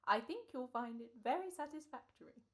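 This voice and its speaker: Radio play: eccentric, courteous old gentleman salesman played by a woman, high swooping voice, folksy and hesitant. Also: animated, a little fast, a little hiss or hum. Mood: polite, grateful. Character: eccentric, polite old gentleman peddling coffins. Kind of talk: old-time radio comedy-horror acting.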